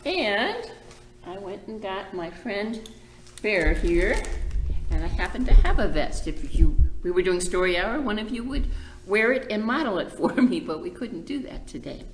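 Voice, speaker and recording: A woman reading a picture book aloud to children as a library storyteller, expressive and emphatic, with chatty asides. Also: mid-range voice, unhurried, echoey room, slight background noise, rough recording.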